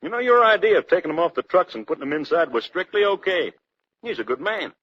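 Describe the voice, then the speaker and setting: An American actor playing a loud, jovial, boozy trucking boss who loves gags, in a 1940s radio drama. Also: male voice, sits high, sing-song, quick pace. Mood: pleased. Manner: boisterous, jokey. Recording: muffled noisy 1940s radio broadcast, crowd behind.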